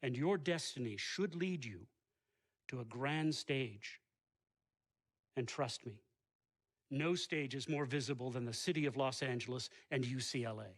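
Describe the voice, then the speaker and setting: A man playing a grandiose college recruiter in a video game, making a lofty, solemn pitch. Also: a little slow, long pauses, lightly acted. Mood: lofty, persuasive.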